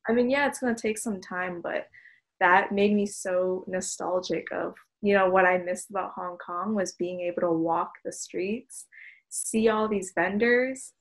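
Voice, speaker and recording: A woman's voice: medium pitch, steady tone, a little slow. A woman from Miami, a guest on a casual podcast, speaking in an easygoing way with hesitant fillers. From Miami, United States.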